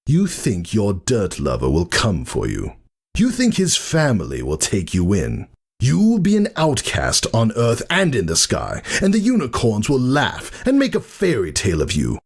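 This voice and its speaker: Man acting a furious gravelly pegasus warrior, raised pitch. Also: huge pitch swings, moderate pace, full character voice. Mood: bitter, threatening. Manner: raging, scornful, declamatory. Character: furious proud pegasus warrior brother, haughty and menacing. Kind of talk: dramatic fan fiction reading.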